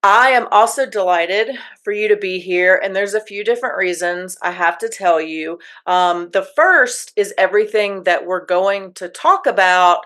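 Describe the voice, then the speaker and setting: A woman's voice: medium pitch, moderate pace, hardly any pauses, fairly bright tone. A woman hosting a podcast interview, chatty and enthusiastic, often restarting her sentences. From United States. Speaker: podcast host. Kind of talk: casual interview chat.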